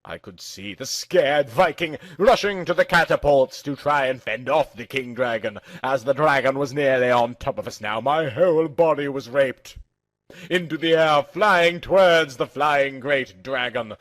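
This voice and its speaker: A man's voice: mid-range voice, measured pace. A man reading a first-person story aloud in a theatrical, emphatic narrating style.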